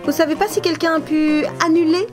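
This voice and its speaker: A woman's voice: extremely high pitch, steady tone, fairly quick, music in the background. A woman playing a fussy, procedure-bound bank adviser in an amateur audio comedy, polite and officious with slight hesitations. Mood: puzzled, procedural. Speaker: voice actor.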